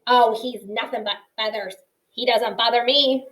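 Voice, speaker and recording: A brash old porcupine in a storybook reading, as a woman puts him on, scoffing at danger and teasing in slangy talk. The voice sits fairly high and takes its time. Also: fairly even intonation, unhurried, fairly bright tone, poor audio. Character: gruff old male porcupine, blunt and teasing.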